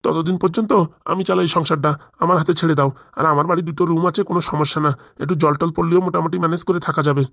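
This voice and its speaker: A man's voice: on the high side, fairly even intonation, racing, creaky, a bit bright, no room sound, clean studio sound. A man voicing a pushy, scheming father-in-law in a comic cartoon, badgering with questions. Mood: persuading. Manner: badgering, nagging with rhetorical questions. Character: pushy, scheming father-in-law pressing his son-in-law for money.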